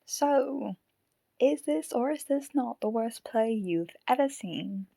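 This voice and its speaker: Woman as a wry, creaky-voiced theatergoer. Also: on the high side, lively intonation, slow pace, dark tone, dry recording, exaggerated voice. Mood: bemused. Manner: dry, casual banter. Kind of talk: conversational audio drama acting.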